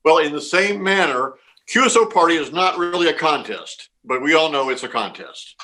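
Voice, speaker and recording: A man's voice: fairly high pitch, lively intonation, moderate pace, pushed and bright. A man being interviewed as a contest organizer, explaining things informally and reassuringly, often repeating words and phrases.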